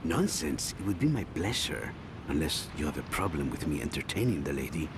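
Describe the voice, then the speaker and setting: A cold, menacing cartel boss with a very low voice that ranges widely in pitch. He speaks quietly and with control, over a clearly audible background rumble in a video game cutscene. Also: sing-song, a little fast, heavily acted.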